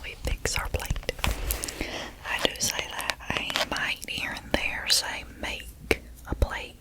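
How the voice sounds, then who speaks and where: A young woman with a Southern accent whispers an ASMR video. She talks slowly and softly, repeating words one at a time like triggers. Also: low-quality recording. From Southern United States. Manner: slow, repetitive trigger words with nail tapping. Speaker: ASMR creator.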